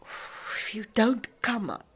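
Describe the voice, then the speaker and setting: A woman's voice: on the high side, big pitch swings, takes it slowly, fairly bright tone, slightly rough, exaggerated voice. An actress playing an ageing, down-and-out South African woman, feisty and lonely, rambling and muttering to herself in a radio play. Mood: cold, forlorn. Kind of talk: dramatic monologue in a radio play.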